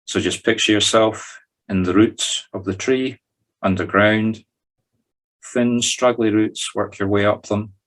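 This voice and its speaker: A man's voice: fairly low pitch, fairly even intonation, measured pace, a bit bright. A Scottish man leading a guided meditation, talking listeners through each step in an informal, conversational way. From Scotland. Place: recorded in a group video call.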